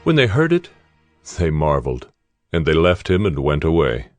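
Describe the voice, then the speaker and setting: A man narrating scripture in a deliberate, storytelling way. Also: rumbling low, wildly swooping pitch, soft background music.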